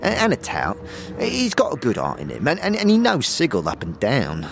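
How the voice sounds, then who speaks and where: A man's voice: mid-range voice, huge pitch swings, normal speed, vocal fry, a little hiss or hum, voice pushed up. A man voicing a chatty, slangy tavern keeper in a fantasy audio drama, with stammering asides. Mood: confiding, chatty.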